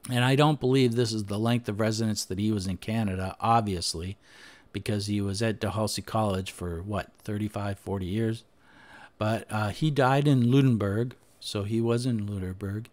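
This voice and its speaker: A man presenting history research over slides, speaking off the cuff with frequent pauses, "uh"s and restarts. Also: on the low side, a little mellow. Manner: unscripted, thinking aloud with frequent "uh" and restarts. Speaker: history podcaster. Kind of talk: screen-share presentation, talking over slides.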